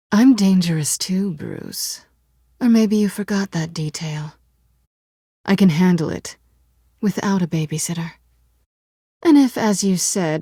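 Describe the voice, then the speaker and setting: A woman's voice: fairly low pitch, mellow, no room sound, studio quality. A woman voicing a sly, self-assured cat burglar in a video game, with a sultry, wry, coolly teasing way of talking. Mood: guarded, defiant. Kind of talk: video game voice acting, dramatic dialogue.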